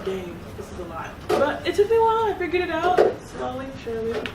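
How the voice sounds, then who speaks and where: A woman's voice: high-pitched, huge pitch swings, fast. A young woman who grew up in South Korea, chatting casually as an interviewee, laughing often and restarting her sentences. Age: young adult. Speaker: interviewee, club secretary. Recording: echoey room, distant mic, noisy.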